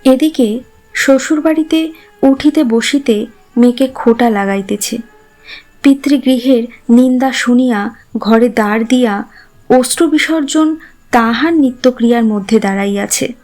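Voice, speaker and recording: A woman's voice: fairly high pitch, unhurried, pauses often, a little mellow. A woman reading a scripted literary story as its narrator. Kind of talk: scripted literary narration in formal Bengali prose.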